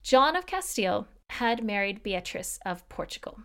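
A woman's voice: medium pitch, sing-song, moderate pace, pushed and bright, clean tone. A woman hosting a history podcast, reading scripted narration in an explanatory way with casual, lightly wry asides.

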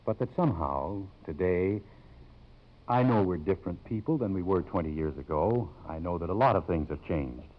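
A man playing an earnest, gentle middle-aged professor in love, speaking sincerely and measuredly in a 1950s radio drama. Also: sits low, lively intonation, normal speed. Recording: muffled old 1950s radio broadcast.

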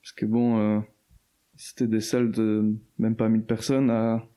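A young man talks in a low, mellow voice. He tells his story in a loose, slangy way, full of fillers and restarts, as a casual interview guest. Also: level tone, measured pace, smooth. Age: 20s. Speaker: guest musician.

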